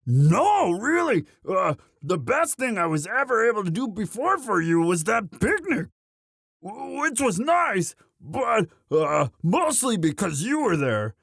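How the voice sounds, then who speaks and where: Orc boyfriend, male performer: sheepish, devoted muscle, halting unhurried delivery, very dry close sound, romantic audio drama. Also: sits high, very animated, creaky, fairly bright tone, dry recording, exaggerated voice. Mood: sheepish, eager. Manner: halting, sheepish, stammering with many uhs. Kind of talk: romantic roleplay audio monologue.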